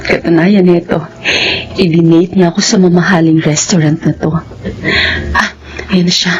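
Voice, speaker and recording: A woman's voice: on the low side, steady tone, moderate pace, darkish tone, lo-fi sound, slight background noise, close to an everyday voice. A woman playing a heartbroken young Filipina returnee in a radio drama, earnest and emotional, with a Filipino accent. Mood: reflective, wistful. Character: heartbroken young Filipina returning from overseas work, wary of love. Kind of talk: radio drama acting with first-person narration.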